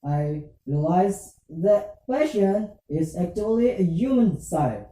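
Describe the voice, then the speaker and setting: A performer voicing an earnest, hesitant young student puppet in a scripted puppet show, with halting, stilted phrasing. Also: mid-range voice, sing-song, mellow. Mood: earnest, reflective. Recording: distant, echoey room, muffled.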